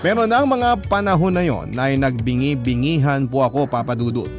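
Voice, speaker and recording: A man's voice: medium pitch, lively intonation, moderate pace. Filipino male storyteller over piano music. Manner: earnest, emotive storytelling. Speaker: radio host and story narrator. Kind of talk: dramatic first-person letter reading. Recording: music bed behind the voice.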